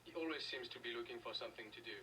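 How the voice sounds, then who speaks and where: A man's voice: mid-range voice, racing, sharp tone, recorded from a distance, a little hiss or hum, close to an everyday voice. A man playing a curt, busy fellow in a video game, brusque and impatient with whoever asks. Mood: brusque, dismissive.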